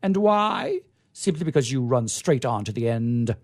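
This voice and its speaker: A man's voice: medium pitch, very animated, a little slow, darkish tone. Man playing a pompous, declamatory newspaper magnate. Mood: stern, lecturing. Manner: effusive, declamatory, lecturing. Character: pompous, exacting male newspaper magnate addressing his writers.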